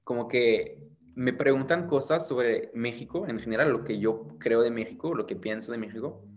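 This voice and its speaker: A man's voice: medium pitch, moderate pace. A young French man speaking as an interview guest, rambling and hesitant with many restarts. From France. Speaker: interview guest, content creator. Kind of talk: casual conversational interview.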